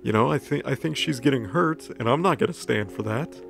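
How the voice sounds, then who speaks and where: A man retells a story casually, speaking as one of its characters for comic effect. His mid-pitched voice is full of vocal fry, with a laid-back, crude, halting delivery and music underneath. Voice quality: creaky. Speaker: recap narrator.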